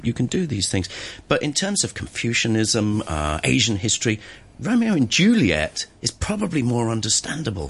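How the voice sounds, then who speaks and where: A male radio host interviewing a guest in a studio, asking chatty, curious questions. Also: on the low side.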